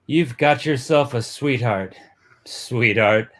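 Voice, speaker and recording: A man's voice: medium pitch, takes it slowly, a bit bright. A man acting a cocky, smitten young small-town boyfriend in a dramatic romance comic reading. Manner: breezy, old-fashioned slangy.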